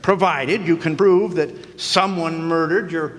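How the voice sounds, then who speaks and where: A man's voice: fairly high pitch, fairly even intonation, unhurried, fairly bright tone. An actor playing a wisecracking hardboiled male private eye, narrating in a dry, sardonic noir radio style on a stage. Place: live stage performance in a hall. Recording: long hall reverb.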